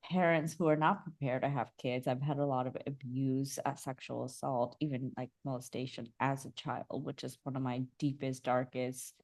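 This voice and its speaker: A young woman from Bangladesh, a holistic coach, talking about her life in a soft, reflective way with many fillers and restarts. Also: rumbling low, level tone, moderate pace, hardly any pauses. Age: young adult. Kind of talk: casual interview chat.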